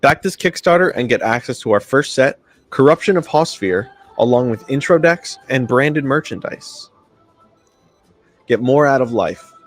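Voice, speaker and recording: A man's voice: medium pitch, expressive, moderate pace. A man reading scripted promotional narration that explains things step by step. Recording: music bed behind voice. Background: music.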